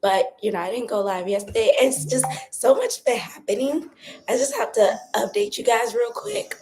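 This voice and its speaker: A young woman chats casually on a live stream, her voice raspy and hoarse from a cold. She keeps it soft and doesn't push for volume. She is recording on her phone outdoors by her patio. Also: fairly high pitch, animated, normal speed, faint music behind. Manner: talks softly, holding back her voice. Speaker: vlogger doing a live stream. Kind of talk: casual chatty live update.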